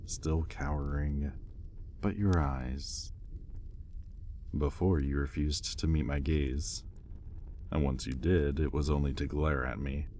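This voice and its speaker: A man playing an imperious, sardonic demon in an intimate audio roleplay, with a deliberate, menacing drawl and easily exasperated temper. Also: extremely low pitch, vocal fry, a little mellow, a little hiss or hum, heavily acted. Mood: cool, probing.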